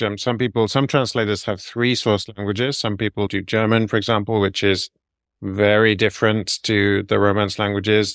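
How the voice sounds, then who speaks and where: A middle-aged man answering interview questions in a measured, explanatory way, laying out his advice point by point. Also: on the low side, a bit bright, no room sound, clean studio sound. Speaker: guest, medical translator.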